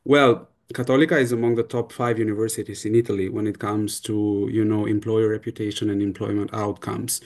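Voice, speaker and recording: A man's voice: mid-range voice, darkish tone. A man from the Balkans, not a native English speaker, answering on a panel as a university representative in an earnest, persuasive way, with many "you know" fillers. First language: not English; a Balkan language.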